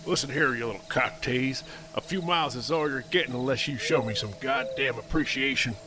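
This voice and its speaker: A man's voice: mid-range voice, brisk, music in the background, lightly acted. A man playing a crude, menacing militia captain with a smarmy, folksy drawl in an amateur audio drama. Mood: angry, threatening. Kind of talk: audio drama script reading. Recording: noisy home recording.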